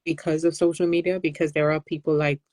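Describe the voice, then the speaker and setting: A woman speaking as a guest panellist, explaining things conversationally in a measured, careful way. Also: sits low, flat delivery, darkish tone, no room sound, clean studio sound. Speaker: guest panellist, health advocate.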